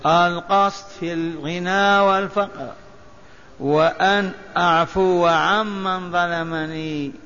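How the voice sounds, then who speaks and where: High-voiced man chanting a lesson in a hall. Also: steady tone, slow pace, bright, forceful tone. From Algeria. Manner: sing-song chanting of memorized lists, drawn out. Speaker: religious teacher. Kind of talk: lecture teaching by recitation and repetition. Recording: echoey hall, dull lo-fi sound, faint hiss.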